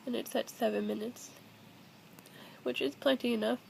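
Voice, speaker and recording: A woman's voice: a little high, fairly even intonation, normal speed, gravelly, darkish tone, a little hiss or hum, low-quality recording. A young adult woman talking casually and hesitantly in a personal confessional vlog, recorded at home on a built-in device mic.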